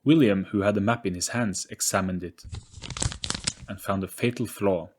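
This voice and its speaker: A man's voice: on the low side, normal speed, background noise. A man giving a scripted, dramatic narration of an audio story.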